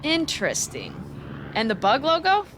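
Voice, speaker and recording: Game colleague: inquisitive young woman wrestler, friendly, expressive and fairly high, faint ambience. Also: moderate pace, a little hiss or hum, heavily acted. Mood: curious, warm. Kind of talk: video game cutscene dialogue.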